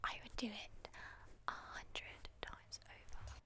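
A British woman playing an obsessive, lovesick captor in an intimate whispered ASMR roleplay, breathy, cooing and emotionally fragile. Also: slow pace, sharp tone. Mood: tender, confiding. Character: obsessive lovesick female captor, tender, volatile, doting on captive.

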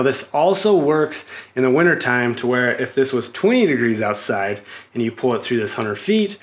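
Male, thirties, American accent, easygoing DIY vlogger explaining a building project in plain, casual talk. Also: mid-range voice. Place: small home room while explaining at a desk, outdoors on a building site elsewhere.

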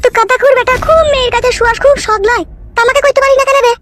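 Woman acting a furious village wife, piercingly high, very fast, scolding, cartoon style. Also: steady tone, fairly bright tone. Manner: scolding, threatening, foul-mouthed. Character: shrill, scorned, vindictive village wife.

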